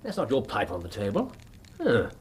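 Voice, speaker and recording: An actor playing a keen, dry-witted Victorian consulting detective in a radio drama, with an English accent and precise, urbane, musing speech. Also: male voice, fairly low pitch, huge pitch swings, normal speed, slight background noise. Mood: musing, engaged. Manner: precise, urbane, musing with little hums and sighs.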